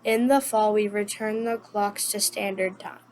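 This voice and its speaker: A woman's voice: mid-range voice, fairly even intonation, unhurried, a little mellow. A female co-reader carefully reading a children's picture book aloud, with some noise in the recording. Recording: noticeable background noise.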